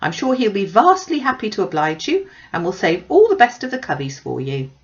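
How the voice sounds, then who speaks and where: A woman's voice: mid-range voice, huge pitch swings, fairly quick, lo-fi sound, pitched above the performer's own voice. A woman voicing a gushing, fussy middle-aged mother who chatters pushily and complains with emphasis in an audiobook. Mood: fawning. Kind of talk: audiobook character voice.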